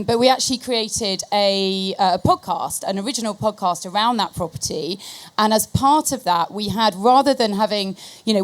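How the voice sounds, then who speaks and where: Female panellist, medium pitch, explanatory conversational delivery of a marketing professional.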